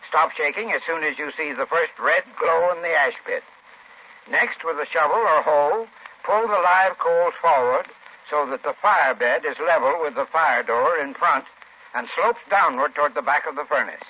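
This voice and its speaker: Formal 1930s radio advice man, slightly high. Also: normal speed. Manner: formal, instructive. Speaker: heating expert giving sponsor advice. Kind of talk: scripted 1930s radio advertisement. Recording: muffled 1930s radio recording.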